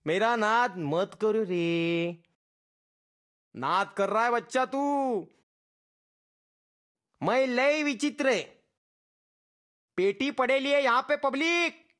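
A performer voicing an excitable teammate in a battle game, barking comic callouts with exaggerated, drawn-out delivery. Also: mid-range voice. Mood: bossy, comic. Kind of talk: shouted in-game voice-pack callouts, Hindi slang.

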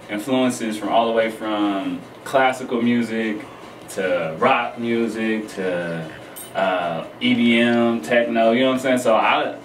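A man's voice: on the low side, far from the mic. A young man speaking casually as a musician guest in a radio studio interview. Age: young adult. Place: small radio studio, indoors. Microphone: studio microphone on boom arm. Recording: roomy studio, noisy, off mic.

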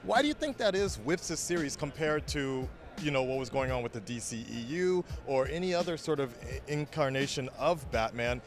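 A man's voice: medium pitch, lively intonation, fairly quick, hardly any pauses. A man in his thirties hosting an on-camera fan interview into a handheld microphone, with a casual, enthusiastic manner. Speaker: interviewer and channel host. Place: convention press area, indoors. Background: background music.

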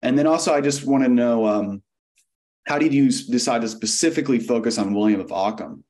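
A man's voice: medium pitch, fairly quick, a little mellow. A young man interviewing a guest over a video call, asking long, rambling questions full of fillers. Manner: rambling, many fillers and hedges.

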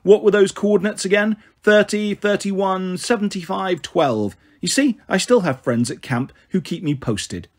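A man voicing a smug, smooth-talking college-age villain in a storybook, with a taunting manner. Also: high-pitched, a little slow, hardly any pauses, voice pushed up. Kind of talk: storybook character voice.

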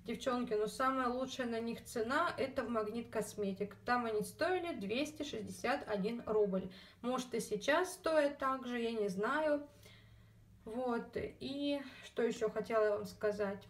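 A fairly high-voiced woman talks her way through a beauty haul in a relaxed, rambling manner, dropping fillers and calling out to her viewers. Her throat sounds slightly scratchy, and she is recorded at home on a phone held at some distance. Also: unhurried. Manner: chatty, many fillers, addresses viewers as girls. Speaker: beauty vlogger. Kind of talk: casual haul video chat.

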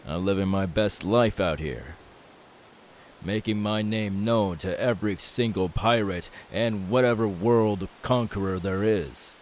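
A kindly, self-assured young pirate played by a man speaks low and unhurried in an ASMR audio roleplay. He is soft-spoken and reassuring, with a faint hiss behind him. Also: lightly acted. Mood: earnest.

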